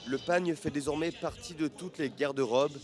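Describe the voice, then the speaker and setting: A man reading a scripted news report as a voice-over in a measured way. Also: on the high side, music in the background. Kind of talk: scripted narration.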